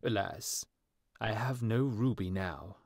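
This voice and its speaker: A gentle statue prince who talks slowly and sorrowfully, put on by a man narrating. His pleading words come out soft and unhurried, and the sound is close and dry. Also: on the low side, vocal fry, no room sound, exaggerated voice. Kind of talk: storybook reading.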